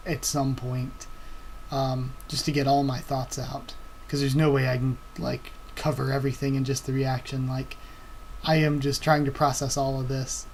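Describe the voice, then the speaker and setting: A young man reacting live to a new manga chapter, excitable and thinking aloud with stammering restarts. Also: medium pitch, a little hiss or hum, poor audio.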